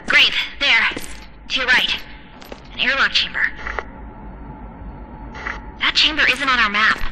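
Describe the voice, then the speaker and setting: A woman's voice: a little high, slow, sharp tone, full character voice, rough recording. An actress playing a tough, confident female operative in a video game, speaking bluntly and assertively. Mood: urgent. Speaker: voice actor in a game cutscene. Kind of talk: dramatic video game acting. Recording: clear background ambient noise.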